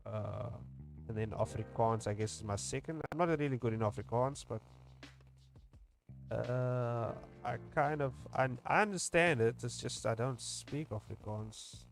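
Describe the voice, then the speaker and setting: A man's voice: mid-range voice, measured pace, creaky, soft background music. A young South African man with a South African English accent, chatting casually on a livestream while he draws, rambling with lots of fillers and restarts. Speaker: webcomic streamer.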